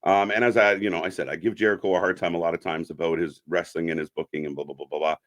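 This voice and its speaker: Man, low voice, interview host, casual wrestling fan channel style, informal, repeats short affirmations. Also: steady tone, fast talker, fairly bright tone.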